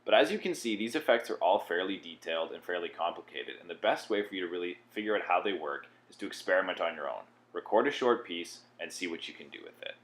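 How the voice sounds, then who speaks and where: A man with a low voice walks through software steps as a tutorial presenter. His delivery is plain-spoken and instructional, explaining each step as he goes. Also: lively intonation, fast talker, hardly any pauses.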